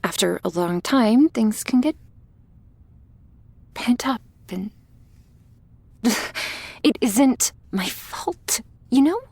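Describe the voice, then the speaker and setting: A woman playing a shy, flustered, affectionate young vampire in an intimate ASMR roleplay, speaking softly and haltingly, often near a whisper. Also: fairly high pitch, animated, no echo, heavily acted. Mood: embarrassed, defensive. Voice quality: soft, breathy, often whispery. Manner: bashful, halting, nervously giggly.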